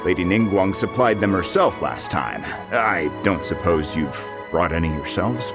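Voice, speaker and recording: A deep-voiced friendly craftsman. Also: lively intonation, measured pace. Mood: helpful. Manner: patient, explanatory. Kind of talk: game voice acting. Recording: music underneath.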